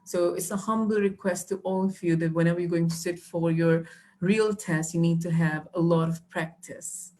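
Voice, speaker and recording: A woman's voice: fairly low pitch, steady tone, normal speed, dark tone. A young woman, teaching exam tips patiently with many fillers and restarts. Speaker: IELTS trainer. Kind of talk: live online teaching.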